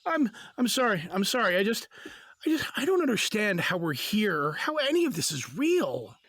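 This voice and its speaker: A man's voice: sits high, very animated, moderate pace, fairly bright tone, no echo, heavily acted. An exasperated, wry husband lost in a magical forest, played by a man in an audio drama. The man's voice is dry and close, rising and falling freely as he gets flustered or sarcastic. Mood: overwhelmed. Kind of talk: fantasy audio drama acting.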